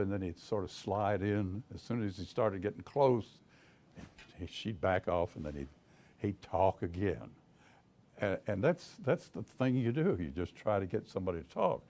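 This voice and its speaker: An older man with a deep voice and a Southern US accent, a private investigator telling folksy stories to an audience, chuckling as he goes. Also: expressive, moderate pace, darkish tone. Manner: folksy storyteller, chuckles often, many restarts. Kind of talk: casual talk to an audience.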